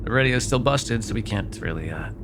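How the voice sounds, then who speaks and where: A man's voice: fairly low pitch, expressive, a little fast, background noise, lightly acted. A man playing a sardonic, grudgingly apologetic vampire in an immersive roleplay, talking in a wry, hesitant, conversational way with some swearing. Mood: wry, grumbling. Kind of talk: immersive ASMR roleplay acting, one side of a dialogue.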